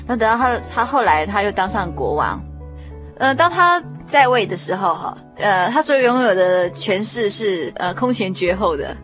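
A woman's voice: medium pitch, lively intonation, moderate pace, fairly bright tone. A woman speaks with a Taiwanese Mandarin accent as the host of a radio programme. She introduces and questions in an interview style, and music plays behind her voice.

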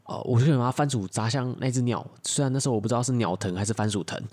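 Male co-host, average pitch, casual ad-libbed storytelling, conversational banter. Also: very fast, a little mellow. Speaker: podcast co-host improvising a story.

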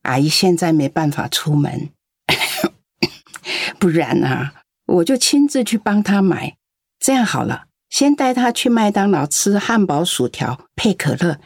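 A woman's voice: lowish voice, sing-song, a little slow, no room sound, studio quality, heavily acted. An actress playing a lonely, doting elderly grandmother in a radio drama. She talks in a coaxing, chatty way and coughs now and then. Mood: affectionate. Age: older.

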